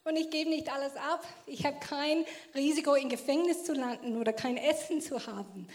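High-voiced woman, earnest testimony. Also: normal speed. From Australia. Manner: earnest and emphatic, in non-native German with loose grammar. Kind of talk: passionate personal testimony talk.

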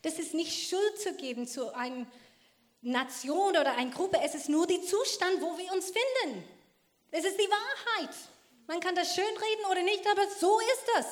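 High-voiced woman, earnest testimony. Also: animated, moderate pace. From Australia. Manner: earnest and emphatic, in non-native German with loose grammar. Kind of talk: passionate personal testimony talk.

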